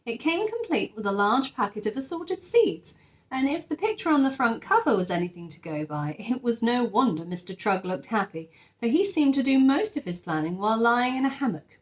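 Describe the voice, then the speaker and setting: A woman with a fairly high voice reads a children's story. Her delivery is expressive, in the manner of a teacher reading aloud to young listeners. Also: normal speed.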